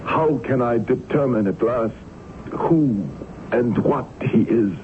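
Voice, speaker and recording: An actor playing a desperate, haunted sea captain in an old-time radio drama, with an anguished, halting, theatrical delivery. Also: male voice, medium pitch, lightly acted. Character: haunted, desperate male sea captain fearing a supernatural pursuer. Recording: noisy, muffled vintage radio recording.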